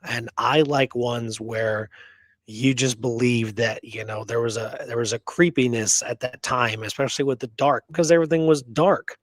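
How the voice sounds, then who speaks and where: A man's voice: mid-range voice, fairly even intonation, moderate pace, no room sound. A middle-aged man with an American accent and a mid-range voice talks in a casual, opinionated way. He is emphatic and colloquial, and the sound is close and dry. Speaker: podcast co-host, history researcher and author. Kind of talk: casual opinionated history chat.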